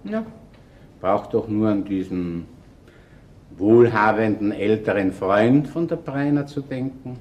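An actor playing a shrewd, unhurried Viennese chief inspector in a crime radio play, speaking deliberately and wryly with an Austrian accent. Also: male voice, fairly low pitch, a little mellow. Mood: measured, probing.

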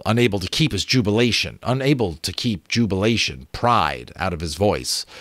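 A man in his thirties with a low voice reads an adventure novel aloud. His delivery is expressive, with the odd small stumble. The sound is close and dry. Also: no echo. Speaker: audiobook reader. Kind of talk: dramatic reading of an adventure novel. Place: small home room with bookshelves. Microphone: close desk microphone.

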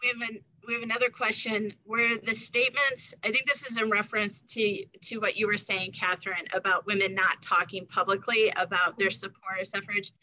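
Bright-voiced woman reading panel questions. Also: mid-range voice, level tone, fairly quick, few pauses, slight background noise, low-quality recording. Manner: reads audience questions aloud. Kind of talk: online panel discussion. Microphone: video call.

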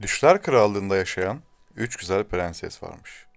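A man's voice: sits low, animated, slow, gravelly, dry recording. A man reading a scripted fairy tale to children as a storyteller.

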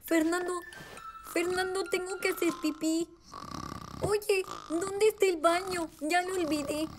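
A woman voicing a small, excitable boy rabbit in a children's cartoon, with a Latin American Spanish accent and an expressive, childlike manner. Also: very high voice, unhurried, background noise. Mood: anxious, urgent. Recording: music behind the voice.

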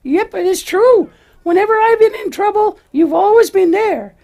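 A woman voicing an excitable, childlike little lamb with an earnest, emphatic manner in a children's puppet show. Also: extremely high pitch, normal speed, gravelly, full character voice. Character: excitable little lamb, easily upset, devoted to friend.

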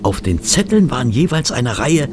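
An actor playing a loyal, inquisitive gentleman companion in a German audio drama, courteous but mildly exasperated. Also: mid-range voice, sing-song, moderate pace, noisy background, natural voice.

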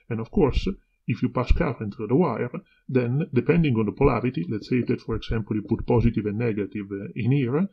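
A man presents an informal, hands-on tutorial in a mid-pitched voice. His tone is husky with a gravelly edge of fry. The audio is somewhat dull, like a homemade video. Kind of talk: informal hands-on demonstration and explanation.

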